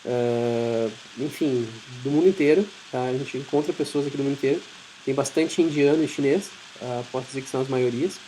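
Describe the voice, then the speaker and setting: Brazilian guy doing a chatty informal presentation, average pitch, bit of background noise. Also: fairly quick, mellow, low-quality recording. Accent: Brazilian Portuguese. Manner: conversational, explanatory, acts out short imagined dialogues. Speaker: IT professional giving a talk.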